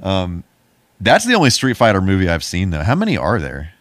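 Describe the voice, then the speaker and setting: A man with a low voice talks casually as a podcast co-host. His delivery is easygoing and laid-back, recorded close and dry with very little room sound. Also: wildly swooping pitch, fairly quick, a bit bright, no echo. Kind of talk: casual chat about movies.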